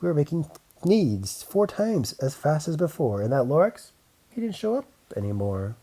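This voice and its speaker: A man narrating a rhyming children's audiobook, with an expressive, emphatic and rhythmic dramatic delivery. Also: a little high, normal speed. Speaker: audiobook reader.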